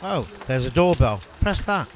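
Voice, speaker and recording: An actor playing a naive, dim comic sidekick in an amateur audio drama, blithely oblivious. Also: male voice, a little high, big pitch swings, moderate pace. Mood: blithe, eager. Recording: clearly noisy amateur recording.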